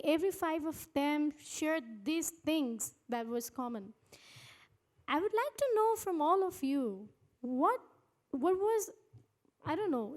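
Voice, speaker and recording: A woman's voice: high voice, a little mellow. A woman moderating a live panel, addressing the speakers and putting questions to them.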